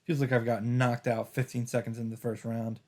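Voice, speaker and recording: A man's voice: mid-range voice, little pitch movement, moderate pace, dark tone. A man hosting a let's play, reading a visual novel's first-person narration aloud with occasional asides of his own. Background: game music.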